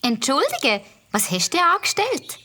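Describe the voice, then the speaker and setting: A woman's voice: sits high, wildly swooping pitch, moderate pace, bright, forceful tone, slight background noise, exaggerated voice. A woman playing a sweet, cheerful small child in a children's audio drama.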